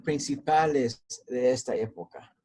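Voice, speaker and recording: A man's voice: medium pitch, unhurried, a little mellow. A man, a pastor and seminary teacher, answering interview questions in Spanish with an accent that mixes American and Mexican, hesitant with many fillers and repeats. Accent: mix of American and Mexican Spanish.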